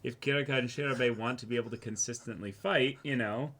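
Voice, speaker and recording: A man's voice: medium pitch, quick pace, fairly bright tone. A man co-hosting a reaction show, talking casually and enthusiastically, now and then swearing. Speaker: co-host of an anime reaction show. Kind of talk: casual discussion.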